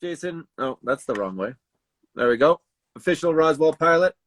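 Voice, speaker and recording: A man hosting a live raffle in casual livestream chat, haltingly thinking aloud as he handles each task. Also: a little high, animated, fairly bright tone, no room sound. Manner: halting, thinking aloud while doing tasks.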